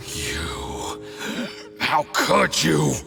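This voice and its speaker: A very gravelly male diplomat, poisoned and desperate in a video game. His indignant, strained speech is slow and swings widely in pitch over music. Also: wildly swooping pitch, exaggerated voice. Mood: shocked. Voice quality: gravelly, creaky. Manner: strained, indignant, halting delivery. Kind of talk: scripted video game voice acting.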